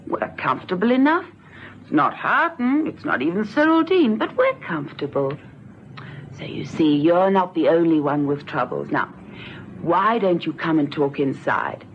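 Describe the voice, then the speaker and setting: Fussy, motherly middle-aged wife, very animated. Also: mid-range voice. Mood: coaxing. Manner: chatty, melodramatic, scolding. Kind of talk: 1970s radio drama acting. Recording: dull vintage radio recording with noise.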